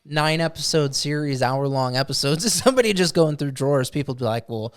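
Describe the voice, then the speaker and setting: Higher-voiced man in casual, agreeable chat. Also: expressive, a little fast, clean tone. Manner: agreeable, informal, sweary. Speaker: podcast co-host.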